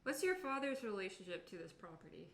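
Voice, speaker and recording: A woman's voice: medium pitch, lively intonation, quick pace, fairly bright tone. A woman playing a tabletop role-playing game, improvising casually in character with the group. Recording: echoey room, faint background noise.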